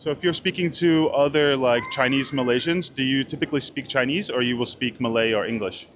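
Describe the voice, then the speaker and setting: A man's voice: mid-range voice, brisk, fairly bright tone. A man hosting casual street interviews, asking short questions in a friendly way and repeating answers back. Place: public street in a city. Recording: noisy outdoor street.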